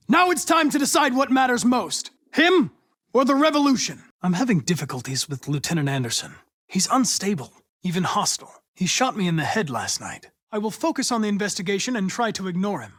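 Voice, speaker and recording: Polite android detective, lively pitch. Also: male voice. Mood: forceful, urgent. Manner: formal, precise, measured phrasing. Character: polite, coolly logical young male android detective. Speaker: police investigator android. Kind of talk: video game voice acting.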